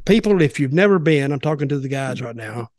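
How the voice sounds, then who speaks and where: A man's voice: medium pitch, expressive, fairly quick, darkish tone, dry recording, clean studio sound. A man in his seventies hosting a podcast, telling stories in a casual chat from a desk microphone. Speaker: podcast host. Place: small home office, indoors. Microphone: desk microphone with headset.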